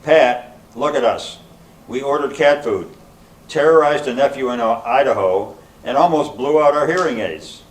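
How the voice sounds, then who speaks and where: A man's voice: medium pitch, unhurried, distant mic, close to an everyday voice. Man playing older comic foil; dry, stubborn, matter-of-fact, amateur stage reading in a reverberant room. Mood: wry. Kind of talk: amateur readers theater comedy. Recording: echoey room, faint background noise.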